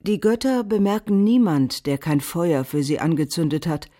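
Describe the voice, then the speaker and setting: A woman with a standard German accent narrates a scripted literary radio piece in a solemn, deliberate manner. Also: lowish voice, expressive, mellow, natural voice.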